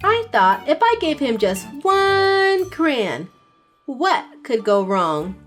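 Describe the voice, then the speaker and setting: Brief: young woman, slow expressive storybook reader, high lively voice, soft music behind. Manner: expressive, sing-song. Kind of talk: read-aloud storytelling for children.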